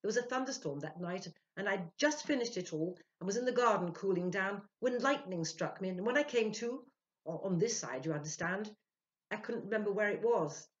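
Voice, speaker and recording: Storybook ghost of a young man, played by a woman: fretful, trembling, urgent, highly animated delivery. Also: fairly quick, natural voice. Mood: irritated, urgent. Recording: dull, lo-fi sound.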